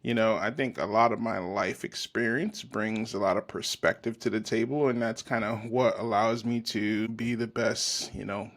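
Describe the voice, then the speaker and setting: Relaxed man from Haiti with a South Florida accent. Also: fairly low pitch, normal speed, hardly any pauses. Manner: relaxed, many fillers like "you know". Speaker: guest. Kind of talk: casual interview talk.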